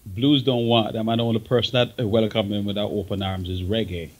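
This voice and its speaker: A man's voice: lowish voice, fairly even intonation, fairly quick. A man with a Jamaican accent speaking as an outspoken talk show guest, bluntly and emphatically, repeating himself for stress. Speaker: guest, veteran music engineer.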